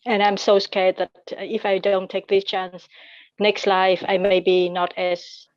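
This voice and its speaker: A woman's voice: medium pitch, little pitch movement, unhurried, no room sound. A woman asking a teacher questions on a video call in non-native English, hesitant and searching for words. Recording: dull, dry video-call audio.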